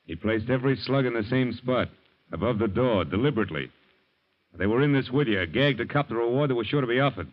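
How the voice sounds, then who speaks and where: An actor playing a shrewd, determined American police detective in an old radio crime drama, probing and hard-boiled. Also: male voice, medium pitch, animated, fast talker, close to an everyday voice. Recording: dull old radio recording.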